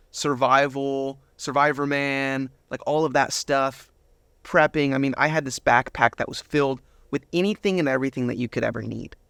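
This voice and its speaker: A man shares his personal story in an interview. His mid-pitched voice is heavy with creak and recorded very dry. He acts out bits of remembered dialogue as he goes. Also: a bit bright, no echo. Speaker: interview guest.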